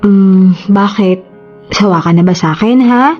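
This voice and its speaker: A woman's voice: mid-range voice, a little slow, soft background music, lightly acted. A woman playing a tender, playful young mother in a radio drama, affectionate and coaxing, slipping in English phrases. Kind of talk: Tagalog radio drama acting. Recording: slightly dull audio.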